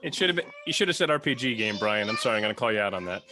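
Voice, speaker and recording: A man co-hosting a casual panel chat in a halting, stop-start way. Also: lowish voice, expressive, heavy background noise. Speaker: podcast co-host.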